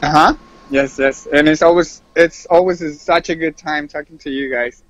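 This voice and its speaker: A man's voice: on the high side, fairly even intonation, normal speed, dry recording, lo-fi sound. A man chatting casually as a podcast guest, slangy and repeating phrases for emphasis. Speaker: podcast guest, fighting game player. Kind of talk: casual chat.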